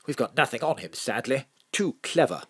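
Husky, animated police contact. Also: medium pitch, normal speed. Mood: musing, helpful. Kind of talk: audio drama acting.

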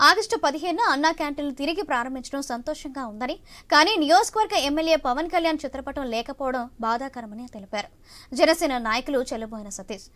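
A woman news anchor reading a scripted report in a brisk, even newsreader manner from a television studio. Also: high-pitched.

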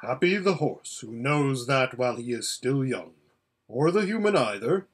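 A man voicing a great male lion in a storybook, solemn and regal, stern yet tender, speaking in a measured, commanding way. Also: medium pitch, expressive, husky. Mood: grave, stern.

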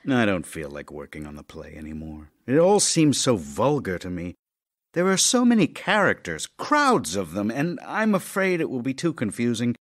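An actor playing a witty, weary, affectionate middle-aged playwright in an audio drama of love letters, with a dry, teasing, melancholy and theatrical manner. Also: male voice, mid-range voice, wildly swooping pitch, moderate pace. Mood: fretful.

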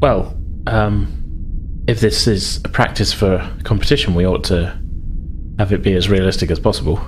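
A man's voice: deep voice, steady tone, normal speed, a little hiss or hum. A man narrating a tabletop roleplaying game as game master, conversational and thinking aloud as he goes.

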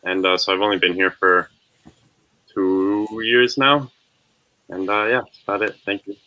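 A man's voice: on the low side, steady tone, moderate pace, sharp tone, dull audio. A young man from the United States, a student, giving a brief casual introduction. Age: young adult. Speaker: student guest.

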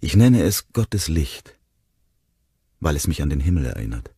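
A man's voice: extremely low pitch, sing-song, unhurried, warm tone, breathy edge, no echo, studio quality, full character voice. A man voicing a loving, sorrowful father who speaks tenderly to his young son, murmuring with emotion. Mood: tender, reassuring.

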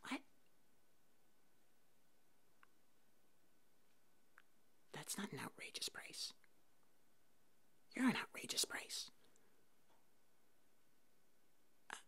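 A woman voicing an eccentric, bumbling puppet monster hairdresser in a halting, breathy whisper for an ASMR roleplay. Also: lowish voice, a bit bright, heavily acted. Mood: flustered. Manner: halting, hesitant, slow.

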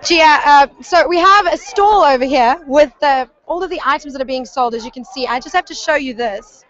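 High-voiced, chatty South African woman reporter. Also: normal speed, fairly bright tone. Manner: chatty, descriptive. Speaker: news reporter. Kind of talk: unscripted live field reporting. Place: outdoors at a crowded street gathering. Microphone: handheld microphone. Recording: muffled, band-limited outdoor field audio.

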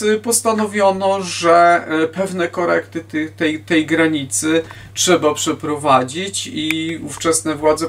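A man in his fifties giving an informal history lecture to camera in a halting manner, often restarting his phrases. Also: on the high side. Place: book-lined room at home, indoors. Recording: distant microphone in a room.

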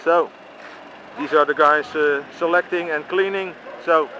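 A man's voice: a little high, fairly even intonation, measured pace, bright, forceful tone. Chatty Dutch man filming outdoors. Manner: chatty, chuckling, exclaims often. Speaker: vlogger filming with handheld camera. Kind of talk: casual walking commentary. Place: outdoors at a busy bike parking by a street. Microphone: handheld camera mic. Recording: noisy outdoor street recording.

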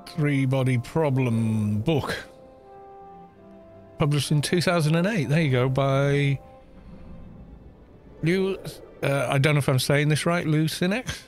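A man's voice: a little high, takes it slowly, pauses often, faint music behind. A man streaming a game, chatting casually in a rambling, self-deprecating way on a close desk mic.

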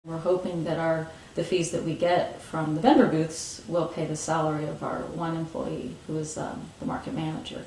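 A lower-voiced woman in a roomy room. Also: flat delivery, a little mellow, distant mic, a little hiss or hum. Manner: thoughtful, pauses with fillers and restarts. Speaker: market organizer. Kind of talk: interview answers. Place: indoor room with bookshelves, seated in armchair.

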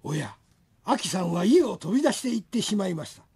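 A woman's voice: medium pitch, big pitch swings, fairly quick, warm tone, slightly rough, full character voice. A woman narrating a comic family ghost tale as the storyteller of a radio drama. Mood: storytelling. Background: music.

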